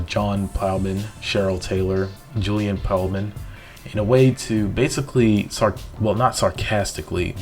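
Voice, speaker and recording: A man with a low voice narrates a video essay over faint music. His commentary is wordy and slightly halting as he explains. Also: darkish tone. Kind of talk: TV show commentary.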